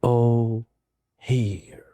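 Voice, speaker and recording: Male poetry reader, medium pitch, solemn and measured delivery with deliberate pauses, warm relaxed tone, dry recording. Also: no room sound. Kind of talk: slow dramatic poetry recitation.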